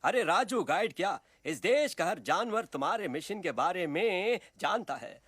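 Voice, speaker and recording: A man's voice: high voice, very animated, a little slow, fairly bright tone, husky, no echo, studio quality, full character voice. A man voicing a chirpy cartoon bat, a self-styled tour guide with rhyming, salesman-like patter. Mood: showy, confident. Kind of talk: animated film character acting.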